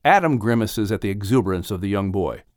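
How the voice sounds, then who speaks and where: A man reading a scripted audiobook story as its narrator, with expressive delivery. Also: fairly low pitch, a little fast, no echo, clean studio sound.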